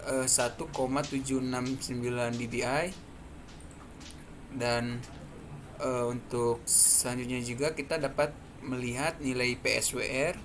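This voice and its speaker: Seorang pria muda berlogat Indonesia menjelaskan demonstrasi perangkat lunak sebagai mahasiswa presenter, bicaranya tersendat-sendat. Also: male voice, medium pitch. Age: young adult. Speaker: student presenter. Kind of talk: explanatory software demonstration. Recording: clearly noisy background.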